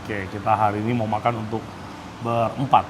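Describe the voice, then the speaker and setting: A man's voice: lowish voice, little pitch movement, brisk, noisy background. A man with a Jakarta Indonesian accent presenting a food vlog in a casual, slangy, chatty way, often tagging sentences with "ya".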